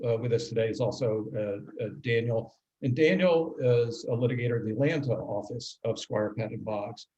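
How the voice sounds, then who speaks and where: Halting male webinar host. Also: mid-range voice, warm tone, dull audio, a little hiss or hum. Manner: halting, frequent throat clearing. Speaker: webinar host and compliance consultant. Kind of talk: scripted webinar introductions with casual asides.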